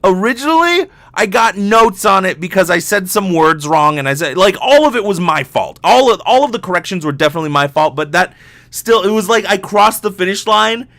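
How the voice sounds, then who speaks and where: A man with a fairly high-set voice talks alone as a podcast host. He rambles casually and jokes at his own expense, swearing as he goes. The sound is close and very dry, with no room echo. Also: expressive, brisk, a bit bright. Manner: self-deprecating, joking, sweary.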